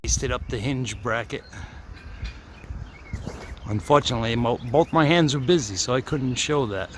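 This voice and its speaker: A man's voice: medium pitch, normal speed. Casual man narrating outdoors over background noise. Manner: chuckles at his own remarks. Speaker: camera operator and helper narrating a vlog. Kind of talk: casual on-the-spot commentary. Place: outdoors at the foot of an antenna tower. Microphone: handheld camera. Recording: outdoor ambient noise on handheld camera.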